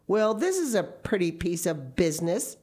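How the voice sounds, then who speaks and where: A woman voicing a stern, practical middle-aged spinster who speaks briskly and dryly. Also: fairly low pitch, big pitch swings, a little mellow, husky, pitched above the performer's own voice. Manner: brisk, no-nonsense, dry.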